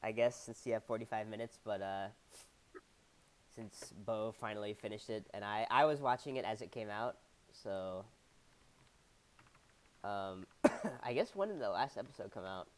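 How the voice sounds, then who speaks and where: A man's voice: fairly low pitch, moderate pace, pauses often. A man co-hosting a podcast in casual chat, laid-back and trailing off, with a stuffy head cold. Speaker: podcast co-host.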